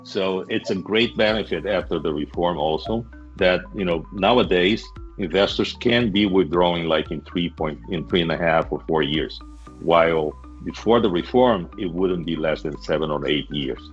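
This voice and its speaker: A man who is a guest expert on a webinar, explaining in a halting, self-correcting way. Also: low voice, slightly rough, soft background music. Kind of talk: casual webinar talk. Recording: muffled lo-fi video call audio.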